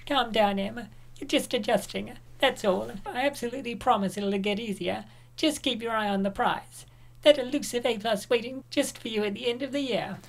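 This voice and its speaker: A woman voicing a pushy, upbeat young best friend who coaxes and cheers. Her voice is a little higher than average and her delivery is brisk. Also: vocal fry. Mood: coaxing. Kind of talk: comic dub reading.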